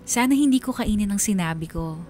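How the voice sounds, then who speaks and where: A woman's voice: mid-range voice, expressive, fairly quick, dark tone, lightly acted. A woman playing an earnest young woman who narrates her own story in a scripted radio drama. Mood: wistful. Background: faint music.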